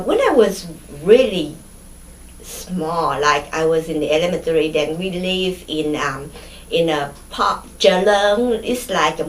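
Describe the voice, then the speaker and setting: A middle-aged woman from Vietnam with a Vietnamese accent, recalling her childhood in an oral history interview, pausing often with fillers and restarts. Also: medium pitch, roomy sound, poor audio. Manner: hesitant, many fillers and restarts.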